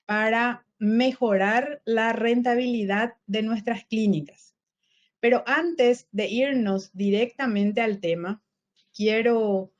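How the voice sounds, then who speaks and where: A middle-aged woman, a dentist turned health business consultant, presenting a webinar in a slow, measured way and often checking in with the audience. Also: medium pitch. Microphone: online webinar, computer mic.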